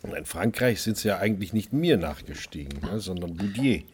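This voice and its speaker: An actor playing a wry, stubborn chef who narrates his own radio crime drama in a husky voice. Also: deep voice, lively intonation, moderate pace, a little mellow, slight background noise. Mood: animated recounting. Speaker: lead actor and first-person narrator.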